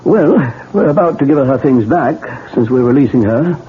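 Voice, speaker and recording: An actor playing a calm, wry male doctor in a 1970s radio drama, matter-of-fact in delivery. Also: medium pitch, normal speed, breathy edge. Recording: tinny old radio broadcast.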